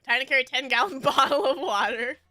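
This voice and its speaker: High bubbly giggly woman streamer. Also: lively intonation, brisk, bright, forceful tone, dry recording, studio quality. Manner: bubbly, rambling, frequent giggles. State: stuffy nose. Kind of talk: casual chat with viewers.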